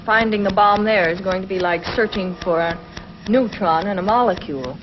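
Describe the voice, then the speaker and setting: A woman acts out an anxious, determined crew member of a spaceship in a 1950s sci-fi serial. Her delivery is earnest and mid-pitched. The soundtrack is dull and lo-fi, with a little background noise. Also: normal speed, close to an everyday voice.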